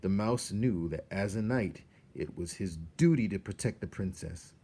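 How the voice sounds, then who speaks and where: A man reading a children's novel aloud in an expressive, measured way, with dramatic pauses. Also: low voice, slightly rough. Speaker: storybook reader.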